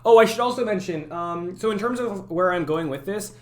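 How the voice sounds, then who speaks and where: A young man streaming his game development, chatting casually and thinking out loud as he works, often correcting himself. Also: high voice, brisk. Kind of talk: casual live-stream chat while working.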